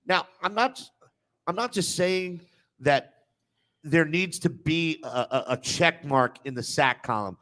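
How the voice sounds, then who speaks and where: Male sports panel host, somewhat high voice, blunt, combative, quick to interrupt. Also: fairly bright tone. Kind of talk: heated sports debate and banter.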